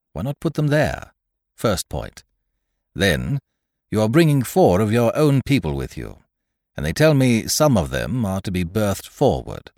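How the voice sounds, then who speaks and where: A blunt, dutiful sea captain from an adventure storybook, played by a male reader. He speaks formally and firmly, with lively swings of pitch in a dry, close recording. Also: dry recording, heavily acted. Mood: insistent. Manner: formal, firm, plain-spoken.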